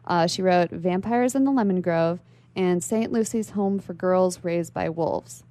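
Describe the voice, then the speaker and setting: A woman's voice: on the low side, unhurried, dark tone. A woman speaking as an interview guest in a literary radio discussion, articulate and bookish.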